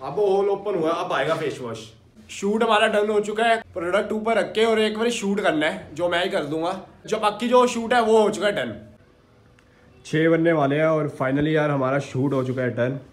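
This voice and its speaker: A man's voice: high-pitched, moderate pace. Chatty young man vlogging at home. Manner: chatty, narrating his day to the camera. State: sore throat. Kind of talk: casual vlog chat. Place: small room at home. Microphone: phone held at arm's length.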